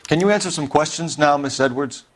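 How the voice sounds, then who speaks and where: An actor playing an earnest, persistent 1940s American police detective in a crime game, with formal, clipped questioning. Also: male voice, a little high, fairly quick, a little hiss or hum, natural voice. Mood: pressing. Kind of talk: video game acting, period crime drama. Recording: music behind the voice.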